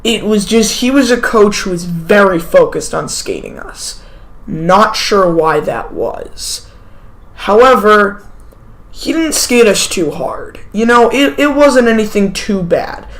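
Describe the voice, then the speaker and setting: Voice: medium pitch, sing-song, slow pace, a bit bright, rough recording. A sick teenager sniffles through a casual storytime monologue. The talk wanders, with asides, self-corrections and offhand remarks. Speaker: vlogger telling a personal story.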